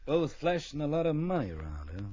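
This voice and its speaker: An actor playing a stern, deadpan marshal in an old radio western, clipped and commanding in his delivery. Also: on the high side, fairly quick, no echo. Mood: dry. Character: stern, dry, no-nonsense Wild West marshal. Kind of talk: old radio western acting with first-person narration. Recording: dull, dry old radio recording.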